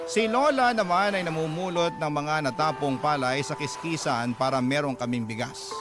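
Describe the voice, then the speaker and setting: A man's voice: a little high, natural voice. An actor playing a sorrowful young Filipino man narrating a poor childhood in a radio drama. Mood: wistful. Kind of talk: dramatic first-person storytelling. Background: loud music.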